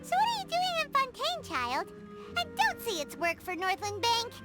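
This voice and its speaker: A woman's voice: extremely high pitch, huge pitch swings, normal speed, exaggerated voice. A woman voicing a small, childlike fairy companion in a video game, inquisitive and exclamatory. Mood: puzzled. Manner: speaks of herself in third person, exclamatory. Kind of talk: animated game dialogue. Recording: background music under the voice.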